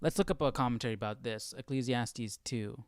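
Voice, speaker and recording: Smooth-voiced man in casual study talk. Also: mid-range voice, fairly quick, no echo, studio quality. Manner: conversational, often checking in with "right?". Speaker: host of a livestream Bible study. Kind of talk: casual study talk, reading and commenting aloud.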